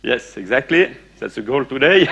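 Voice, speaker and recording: A man lectures a conference audience in English. He talks haltingly and restarts his sentences often, with plenty of uh and eh. Now and then he stops to ask the room a question. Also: medium pitch, animated, gravelly, a bit bright, husky, dull audio. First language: French. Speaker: conference speaker.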